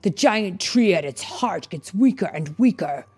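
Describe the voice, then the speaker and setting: A woman storyteller voicing an angry, determined male echidna hero who speaks in grave, forceful declarations. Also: medium pitch, expressive, a little slow, husky.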